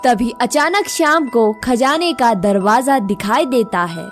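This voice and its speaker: A woman's voice: on the high side, a little slow, soft background music. A woman narrating a scripted moral story for children.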